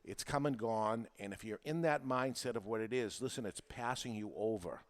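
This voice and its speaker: A man's voice: fairly low pitch, normal speed. Emphatic male podcast host. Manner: emphatic, repetitive, rhetorical questions. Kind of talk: informal talk show monologue, explaining and persuading.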